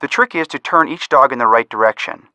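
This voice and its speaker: A man's voice: mid-range voice, a little fast, bright, forceful tone, no room sound, low-quality recording. A young man with an American accent voicing an upbeat amateur detective who gives wry, helpful hints in a video game. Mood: helpful, encouraging. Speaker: detective helper giving hints. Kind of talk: scripted video game voice acting.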